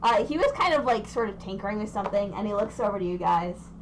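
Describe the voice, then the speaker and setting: A woman's voice: mid-range voice, lively intonation, fast, noisy background. A young woman running a tabletop game over voice chat, describing scenes in a rambling way with many fillers. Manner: rambling, lots of fillers and restarts. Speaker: game master.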